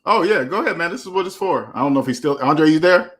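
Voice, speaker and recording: A man hosting a casual online panel chat, relaxed and encouraging, using slangy everyday speech. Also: on the high side, quick pace. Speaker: show host.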